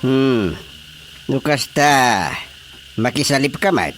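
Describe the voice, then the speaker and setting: A man playing a bossy, sly older village father in an Ilocano radio comedy, with emphatic, drawn-out delivery. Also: medium pitch, expressive, a bit bright, slight background noise. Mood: sly, probing. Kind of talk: Filipino radio drama comedy acting.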